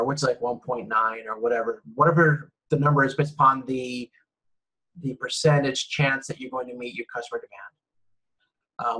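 A man's voice: mid-range voice, fairly bright tone, slightly rough, low-quality recording. A man giving a recorded slide lecture, rambling and correcting himself with frequent ums. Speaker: university lecturer.

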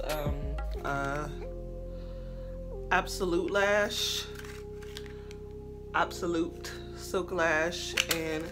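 A young woman with a cold, chatting casually through a makeup tutorial in her bedroom. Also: lowish voice. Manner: chatty, upbeat, talks to viewers as friends. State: stuffy, sick with a cold. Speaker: beauty vlogger. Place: bedroom, indoors. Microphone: camera facing her at close range.

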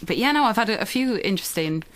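A woman's voice: animated, fast talker, fairly bright tone. A young woman, a singer-songwriter, chatting casually as a radio interview guest in a self-deprecating, giggly manner. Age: young adult. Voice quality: voice a little low from heavy singing.

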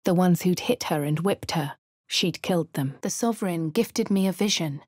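A woman voicing a posh young female adventurer with a posh English accent in game voice lines, switching between quiet inner narration, hushed whispers and shouted spell incantations. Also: lowish voice, normal speed, breathy edge, no room sound, clean studio sound. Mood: brooding.

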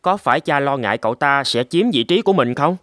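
A man's voice: mid-range voice, lively intonation, fast talker, a bit bright, dry recording, studio quality, natural voice. A man voicing an earnest, proud young swordsman in a fairy-tale cartoon, with emphatic, theatrical delivery. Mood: indignant.

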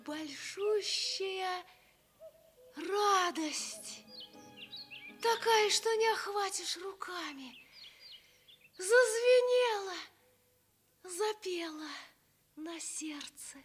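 An actress playing a wonder-struck, gentle young village girl in a Soviet radio fairy tale. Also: very high voice, animated, drawn-out words, pauses often, soft background music, heavily acted. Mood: joyful.

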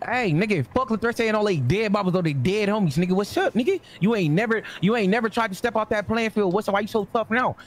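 Fast, high-pitched brash American man. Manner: brash, insistent, repeats himself. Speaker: streamer role-playing a gang member in an online game. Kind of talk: improvised in-character gang banter, slangy.

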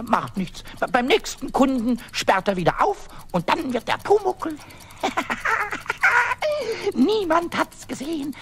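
Little mischievous kobold, squeaky high voice, very animated pitch, excitable stammering, children's audio drama. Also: female voice, slight background noise, full character voice. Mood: startled, scheming. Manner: stammering, repeating words, muttering to itself. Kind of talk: German children's radio play acting.

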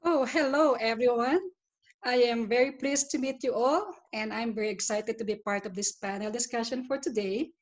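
A woman's voice: a little high, normal speed. A middle-aged woman from the Philippines, giving a formal, polite self-introduction as a panelist over a video call. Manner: formal, polite, carefully paced. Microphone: webcam on a video call.

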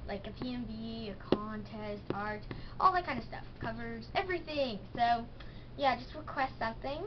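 A woman's voice: a little high, normal speed. A teenage girl chatting in a rambling, bubbly way in a casual home vlog, recorded on a handheld camera in her bedroom. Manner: bubbly, rambling, many fillers. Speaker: pony toy vlogger. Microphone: handheld camera's built-in mic. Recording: dull lo-fi camera audio with hiss.

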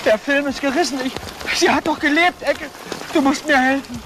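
A man's voice: very high voice, normal speed, background noise. An actor playing a helpless, drunken young man in a German crime audio drama, pleading and agitated. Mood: panicked, pleading. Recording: music and rain under dialogue.

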